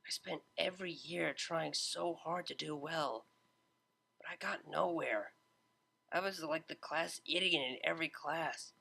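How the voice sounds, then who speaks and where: Male reader as a young dropout DJ mare, breezy and slangy, slightly high and gravelly. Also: unhurried, pushed and bright, full character voice. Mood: casual. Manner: casual, slangy, a bit self-mocking. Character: laid-back young female unicorn DJ, a blunt dropout. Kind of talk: fan audiobook character voice.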